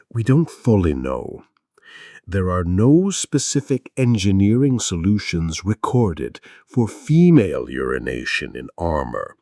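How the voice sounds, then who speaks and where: A man narrating scripted history in a measured storytelling way, with dramatic pauses and wry asides. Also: sits low, dark tone, no echo, studio quality.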